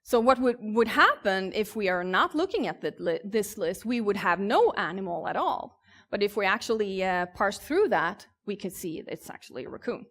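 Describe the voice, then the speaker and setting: A woman with a Swedish accent gives an informal conference talk at a medium pitch. She is conversational and pauses hesitantly as she explains. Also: lively intonation, a bit bright. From southern Sweden. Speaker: conference speaker. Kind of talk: informal tech presentation. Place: conference stage.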